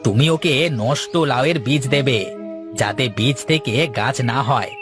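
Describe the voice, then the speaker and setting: A man's voice: mid-range voice, moderate pace, lightly acted. A man voicing a jealous, gossiping villager in a cartoon fairytale, mocking and conspiratorial. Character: jealous, gossiping male villager, sly troublemaker. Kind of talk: animated moral fairytale dialogue. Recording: music behind the voice.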